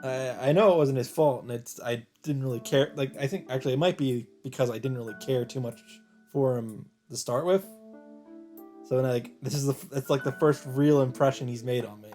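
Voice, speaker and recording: A man doing a casual let's play, reading visual novel text aloud in a mid-pitched voice. He breaks off to add his own asides and reactions. Game music plays softly behind him. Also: fairly quick. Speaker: let's player.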